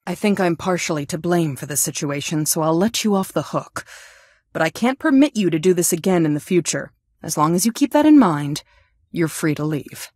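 A stern female school counselor from a video game. Her voice sits on the low side and she phrases things formally, like an official reproaching a student. The sound is close and dry, with very little room on it. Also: a little mellow, breathy edge, no room sound. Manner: formal, scolding, deliberate phrasing. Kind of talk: scripted game character dialogue.

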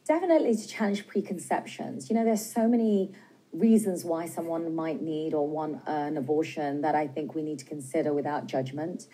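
A woman's voice: deep voice, dark tone, husky, poor audio. A woman in her forties with a British accent, a film director answering interview questions earnestly in a TV news studio, speaking with a lapel mic. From Britain. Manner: earnest, measured, some hesitations.

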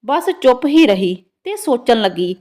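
A woman's voice: a little high, very animated, a little slow, darkish tone. A woman narrating a scripted first-person story in a dramatic storytelling manner.

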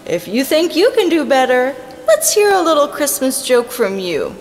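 A perky female stage entertainer for an audio drama. Her voice is extremely high and wildly animated, with folksy, hammy patter. It rings with long hall reverb over faint music. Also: moderate pace. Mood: cheery.

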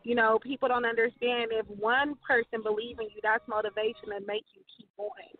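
A woman's voice: a little high, little pitch movement, a little fast, faint music behind. A woman in her thirties, a guest rapper talking candidly and reflectively in a casual radio interview, laughing easily. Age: 30s. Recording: tinny phone-line sound.

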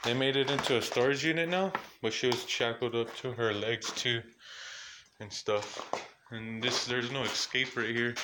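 A man with a mid-range voice rambles through a walkthrough of a dark abandoned building, pointing and repeating himself. It is filmed on a handheld phone. The track carries clear background noise and clicking. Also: medium pitch. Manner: rambling, repetitive pointing out spots. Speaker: urban explorer vlogger. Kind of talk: casual walkthrough narration. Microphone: handheld phone camera.

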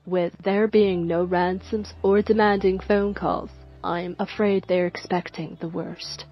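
Woman as a low, solemn assistant. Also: fairly even intonation, measured pace. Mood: grave. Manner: formal, restrained. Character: solemn, dutiful formal assistant. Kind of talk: dramatic comic dub acting. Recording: muffled, music behind.

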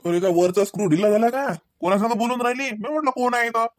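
A man's voice: very high voice, steady tone, fast talker, slight background noise. A man playing an exasperated, sarcastic, work-minded husband in a comedy cartoon, with a Varhadi Marathi accent and a dry, impatient manner. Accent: Varhadi Marathi from Vidarbha.

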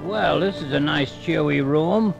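An actor playing a mild, bumbling man who is fussy and polite and quickly gets exasperated. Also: on the high side, gravelly, dull audio, loud music behind the voice. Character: mild bumbling grown man, easily flustered. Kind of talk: children's story record acting.

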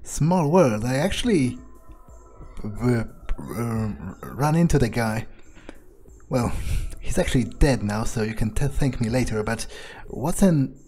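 A man improvising in character as he plays a game, pausing often mid-sentence. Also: mid-range voice, animated, darkish tone, faint music behind. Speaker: gamer playing in character. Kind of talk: improvised in-character roleplay commentary. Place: voice-over on game footage, faint game music behind.

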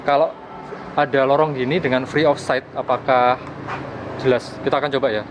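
A young man reviews gadgets in a casual, conversational way. He records outdoors on a handheld camera, with clear street noise around his mid-pitched voice. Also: steady tone, normal speed. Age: young adult. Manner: conversational, explanatory. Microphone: handheld camera at arm's length.

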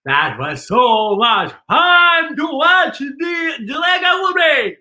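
Animated, theatrical man hosting children's story time. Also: very high voice, slow, shouting. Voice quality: slightly impeded articulation from costume fangs. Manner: theatrical, exclamatory, playfully sing-song. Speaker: children's story-time host, drag performer. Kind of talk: playful children's show presenting.

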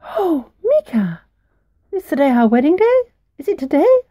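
A woman's voice: big pitch swings, normal speed, no room sound, clean studio sound. A woman voicing a sweet, eager little female mouse bride in a told folk tale. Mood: excited. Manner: high, eager, bright-eyed.

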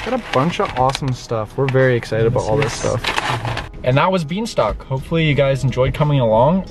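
A young man in his twenties talking casually to a camera held at arm's length in his parked car, upbeat and relaxed, with plenty of "like" fillers. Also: medium pitch, noisy background. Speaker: vlogger. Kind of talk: casual vlog talk to camera.

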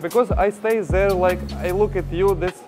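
A man chatting casually and apologetically in halting, giggly broken English in a gym. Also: high voice, steady tone. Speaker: prankster host posing as a beginner gym cleaner. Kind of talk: casual prank chat with strangers. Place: busy gym, indoors. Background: music.

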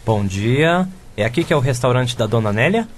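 A man's voice: medium pitch, expressive, normal speed, slight background noise, lightly acted. An actor playing an impatient, hungry male customer in a radio drama, pushy and grumbling. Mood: polite.

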